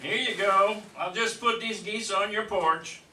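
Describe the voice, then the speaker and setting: A man's voice: high-pitched, unhurried. A man playing a blunt, folksy, put-upon delivery driver in an amateur comedic radio play. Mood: grumbling. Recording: roomy, distant mic, faint noise.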